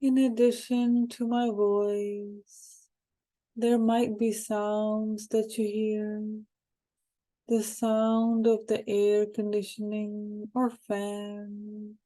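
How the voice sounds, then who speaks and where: Woman doing guided hypnosis, super slow, really mellow dark voice, calm n gently suggestive. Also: medium pitch. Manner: calm, unhurried, gently suggestive, short phrases with pauses. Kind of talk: guided meditation, soothing hypnosis narration.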